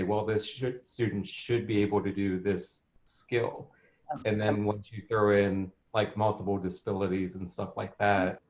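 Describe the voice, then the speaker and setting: A man with a fairly low voice joins a video call discussion. He thinks aloud tentatively, hedging with "like" and "I guess.". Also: darkish tone.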